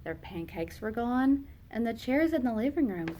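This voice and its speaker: A woman teacher reads a picture book aloud to young children, slow and expressive. Her voice sits fairly high. A faint hiss runs underneath.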